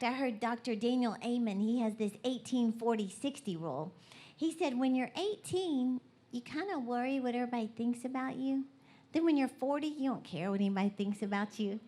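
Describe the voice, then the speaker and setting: A woman's voice: fairly high pitch, normal speed, warm tone. A woman with a Southern US accent giving a bubbly, folksy, conversational motivational talk to a live church gathering. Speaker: guest motivational preacher. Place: large indoor church event.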